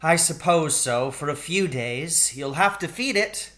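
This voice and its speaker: A man's voice: on the high side, animated, unhurried, sharp tone, pitched above the performer's own voice. A man voicing a practical, firm farm mother in a storybook, matter-of-fact in manner. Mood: reluctant.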